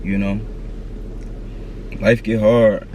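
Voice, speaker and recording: Low, flat-voiced man in his thirties. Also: drawn-out words, dull audio, slight background noise. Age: 30s. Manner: earnest, slangy, repeats advice. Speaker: vlogger. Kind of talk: candid motivational talk to camera.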